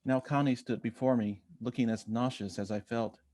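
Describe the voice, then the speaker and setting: An American man reading a personal essay aloud in measured literary narration, voicing bits of quoted dialogue as he goes. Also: mid-range voice, fairly even intonation, warm tone. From Chicago, United States. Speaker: guest essayist reading own work.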